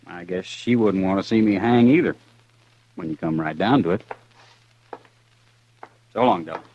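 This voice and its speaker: An actor playing a cool, defiant condemned outlaw in a 1950s radio western, slow and menacing. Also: male voice, deep voice, a little mellow. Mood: cold, taunting. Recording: dull vintage radio recording.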